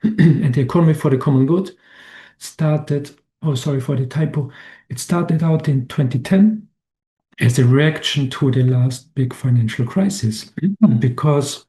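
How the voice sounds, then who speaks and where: Halting Austrian-born man giving a webinar. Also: medium pitch, warm tone. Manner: halting, many restarts and fillers. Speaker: webinar presenter. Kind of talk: slide presentation talk.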